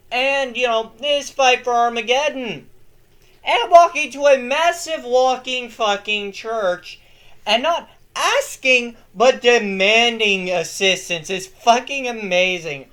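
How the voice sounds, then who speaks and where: A young man hosting a lore video, reading his script in a casual, excitable way, swearing freely and breaking into yells. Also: very high-pitched.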